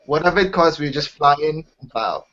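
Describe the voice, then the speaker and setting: A man's voice: a little high, animated, fairly quick, a bit bright. Eager Trinidadian man, lo-fi. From Trinidad and Tobago. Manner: eager, with frequent restarts. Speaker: startup founder, interview guest. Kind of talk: casual interview talk. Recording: dull lo-fi sound.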